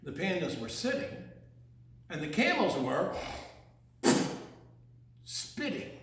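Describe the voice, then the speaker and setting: In a strongly reverberant room, an older man tells a children's story at an unhurried pace. His voice sits high for a man. He draws words out theatrically in a singsong rhythm and breaks off for playful questions to his listeners. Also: big pitch swings, noisy background. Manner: theatrical, singsong, drawn-out delivery with teasing questions. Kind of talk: read-aloud picture-book story with playful asides to young listeners.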